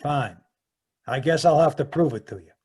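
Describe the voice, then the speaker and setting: Guy doing curt shady caller from an old radio crime play, husky, a bit high, guarded, on a video call. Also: normal speed, a little mellow. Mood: guarded, businesslike. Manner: terse, guarded. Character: menacing, curt male mystery caller. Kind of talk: 1940s radio crime drama acting. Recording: live video call performance.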